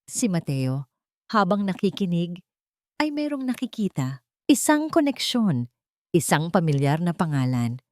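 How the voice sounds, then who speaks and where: Expressive woman narrator with lowish voice. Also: a little slow, pauses often, dry recording, clean studio sound. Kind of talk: dramatic scripted story narration.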